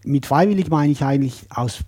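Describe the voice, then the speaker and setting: A man hosting an interview podcast, asking gentle questions in a friendly, joking way. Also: mid-range voice, brisk, slightly rough. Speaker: podcast host.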